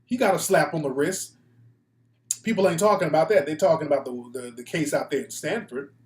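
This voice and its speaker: Echoey, higher-voiced American man, blunt talk host. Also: brisk. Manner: blunt, profane, slangy. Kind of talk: casual opinionated live talk show monologue. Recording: echoey room.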